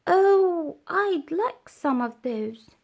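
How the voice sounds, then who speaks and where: A woman's voice: very high voice, lively intonation, extremely slow, gravelly, a little mellow, pitched above the performer's own voice, heavily acted. A woman voicing a small male storybook caterpillar who is eager, wistful and easily discouraged, with sighing, exclaiming delivery. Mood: eager, longing. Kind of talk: children's storybook character voice.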